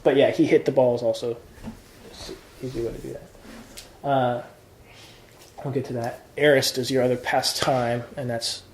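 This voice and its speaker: A man's voice: medium pitch, flat delivery, measured pace, echoey room, a little hiss or hum, poor audio. A man teaching introductory Greek grammar in an informal lesson, explaining patiently step by step with examples. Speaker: Greek tutor.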